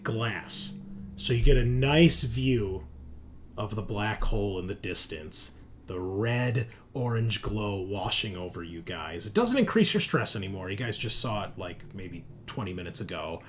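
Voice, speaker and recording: A man's voice: on the low side, slight background noise. A man casually narrating and explaining a tabletop game as game master, in an informal way.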